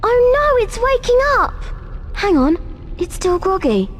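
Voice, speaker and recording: A woman's voice: extremely high pitch, sing-song, measured pace, a little hiss or hum, full character voice. A woman playing an anxious, bossy schoolgirl witch in a video game, British, speaking in an earnest, instructive way. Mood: worried. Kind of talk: video game cutscene acting.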